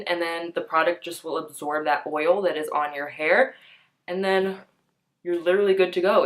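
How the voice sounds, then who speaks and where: A young woman getting over a cold, so her voice comes out a bit nasal and stuffy. She talks to camera in a chatty, easygoing beauty-vlog way, full of "like" and "um". Her pitch sits a little on the low side. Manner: chatty, peppered with "like" and "um". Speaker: beauty vlogger. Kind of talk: casual chat to camera.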